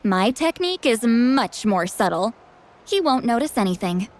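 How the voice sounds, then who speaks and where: A woman voicing a proud, sharp-tongued but protective youthful shaman in a video game, with a dramatic manner. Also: on the high side, very animated, moderate pace, a little hiss or hum. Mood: smug. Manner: boastful yet self-doubting, dramatic.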